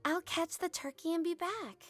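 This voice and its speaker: A woman's voice: very high voice, moderate pace, fairly bright tone, soft background music. A woman playing a spirited, protective young huntress in an audiodrama. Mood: hushed pleading. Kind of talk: audiodrama acting.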